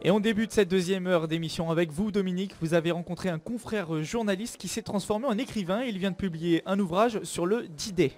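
A young man hosting a live radio show outdoors on a stand mic, announcing briskly with light banter. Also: high-pitched, a little mellow, soft background music. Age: young adult. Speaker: radio host. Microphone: studio mic on stand with headphones.

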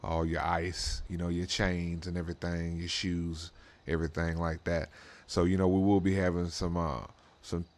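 A man's voice: low-pitched, level tone, normal speed. A man giving casual, rambling gameplay commentary in a laid-back, slangy way, leaning on repeated tag phrases. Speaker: host of a racing roleplay channel.

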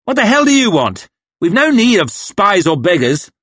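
Arrogant young officer, high sneering tone , voiced by a male narrator. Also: sing-song, normal speed, breathy edge, dry recording. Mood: scornful. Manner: haughty, sneering, snapping.

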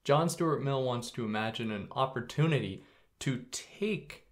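Young male podcast host, soothing voice, casual explainer, mid-range pitch, close mic. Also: a little slow. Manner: relaxed, conversational, lively pitch jumps.

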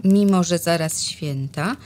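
A woman's voice: lowish voice, measured pace, darkish tone, a little hiss or hum. A woman narrating a rhymed children's Christmas story expressively, sometimes dropping to a whisper.

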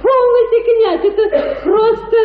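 Middle-aged provincial matchmaking mother, radio drama: high, emphatic, gushing; roomy recording. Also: unhurried, narrow, tinny audio. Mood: catty. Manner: theatrical, emphatic. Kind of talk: radio play acting.

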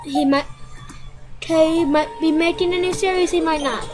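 A woman's voice: extremely high pitch, fairly even intonation, moderate pace. A woman co-hosting a game playthrough, joking and rambling in a casual way, with game music behind her. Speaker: co-host. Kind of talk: casual gameplay chat.

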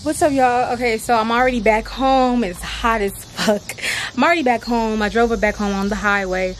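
A woman's voice: on the high side, steady tone, normal speed, slight background noise. A twenty-year-old woman vlogging in a bubbly, chatty way, swearing freely, talking into a phone held at arm's length. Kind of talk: excited casual vlog. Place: small home bathroom, then outdoors by a car. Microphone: phone camera at arm's length.

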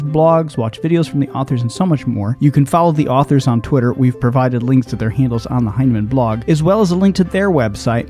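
A man's voice: medium pitch, mellow. A man reading a scripted podcast outro as host. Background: faint music.

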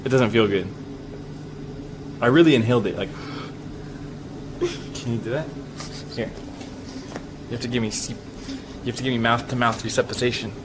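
A man's voice: medium pitch, moderate pace, long pauses. A young man streaming live, chatting casually into a camera held close, in noisy audio. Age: young adult. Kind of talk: casual chat on an outing.

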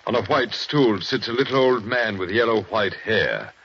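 A man narrating a scene in measured, descriptive tones for a 1940s radio drama. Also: lowish voice. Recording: dull old radio recording with faint hiss.

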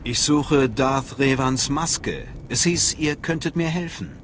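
An actor voicing a sly, ambitious Sith inquisitor in a video game, theatrical and smoothly menacing. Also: male voice, mid-range voice, takes it slowly, a bit bright, slight background noise. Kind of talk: dramatic video game dialogue. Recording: music behind the voice.

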